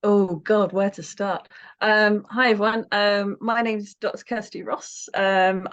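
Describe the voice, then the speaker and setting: Chatty southern English woman, lo-fi sound. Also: medium pitch. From south coast of England. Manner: chatty and self-deprecating, with frequent "um"s. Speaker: podcast guest. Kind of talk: casual interview chat. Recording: dull, lo-fi remote audio.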